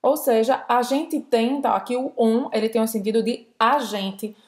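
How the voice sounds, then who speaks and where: Brazilian woman co-host, a little high-pitched, lively explainer, quick bursts. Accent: Brazilian Portuguese. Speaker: French teacher, co-host. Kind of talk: casual language lesson.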